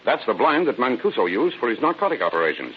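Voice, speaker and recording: An actor playing a tough, determined federal agent with a slangy, hard-boiled manner in 1930s radio drama style. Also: male voice, mid-range voice, sing-song, quick pace, lightly acted. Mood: keen, determined. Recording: dull noisy old radio recording.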